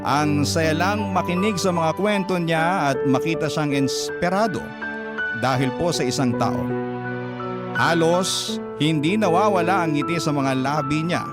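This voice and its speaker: A male radio drama narrator reads a personal letter as a story. His voice sits a little high for a man, and prominent music plays loudly under it. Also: unhurried. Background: loud music.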